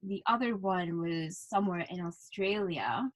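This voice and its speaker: A woman from southern Africa talks in a casual podcast conversation. Her voice sits at a middle pitch, and she feels her way through each thought with some hesitation. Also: measured pace. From southern Africa (Zambia and Botswana), later Scotland. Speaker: podcast guest or panelist.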